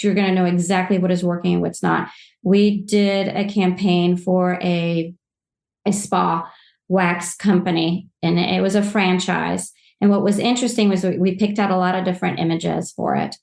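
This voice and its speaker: A woman in her forties, originally from Poland, talks with bubbly enthusiasm and a rambling flow full of restarts. Her voice sits at an ordinary middle pitch. The recording has a noticeable roomy echo. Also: vocal fry, darkish tone. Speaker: social media agency guest. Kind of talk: podcast interview answers.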